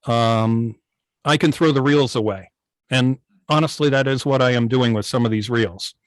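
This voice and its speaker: A man's voice: medium pitch, normal speed. Give a man with a mid-range voice and a dry, echo-free sound. He explains things casually and in careful detail, like a guest talking shop on an online club meeting. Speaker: guest, old-time radio station operator. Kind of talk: casual technical explanation over a video meeting. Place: remote video call. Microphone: video call microphone.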